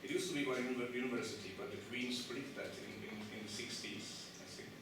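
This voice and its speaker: A man's voice: mid-range voice, fairly even intonation. Man lecturing in an echoey hall. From Czech Republic. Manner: halting, many restarts and fillers, asks the audience questions. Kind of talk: conference lecture. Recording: echoey hall, distant mic, noisy.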